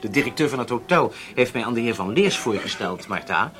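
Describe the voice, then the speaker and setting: A man plays a suave, genial German newlywed with a love of horses. His voice is forceful, bright and very animated, with polite, sociable phrasing in radio drama style. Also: mid-range voice, a little fast, faint music behind, natural voice. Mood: genial, eager.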